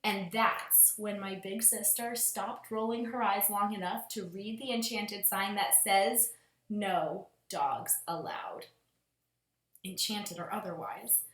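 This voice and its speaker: A woman teacher reading aloud to young children in an expressive storytelling manner. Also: medium pitch, measured pace, echoey room. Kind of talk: expressive picture-book read-aloud.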